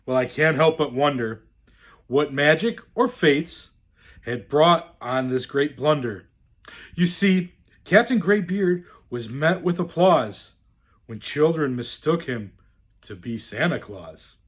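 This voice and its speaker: A man reading a rhyming children's storybook aloud in a theatrical, deliberate, expressive way. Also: on the high side. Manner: theatrical, deliberate, swooping delivery. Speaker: storyteller in the role of Santa.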